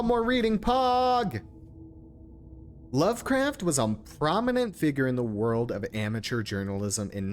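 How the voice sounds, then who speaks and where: Lively male game streamer. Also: medium pitch. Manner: informal, stumbles when reading aloud. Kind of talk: casual livestream commentary and reading aloud.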